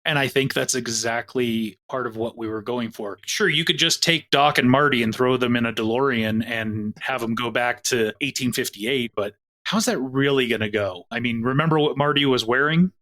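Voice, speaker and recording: A man's voice: medium pitch, moderate pace, few pauses, sharp tone. Chatty man, producer of a podcast. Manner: enthusiastic, chatty, full of pop-culture comparisons. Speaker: producer and voice actor. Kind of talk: casual podcast chat.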